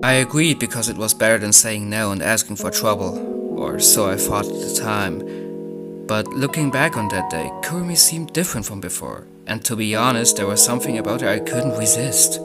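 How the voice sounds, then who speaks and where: A man's voice: on the low side, expressive, brisk, loud music behind the voice, pitched below the performer's own voice. A man voicing an awkward, easily flustered teenage anime boy in a fan dub, his voice swinging between murmurs and yelps. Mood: wistful narration.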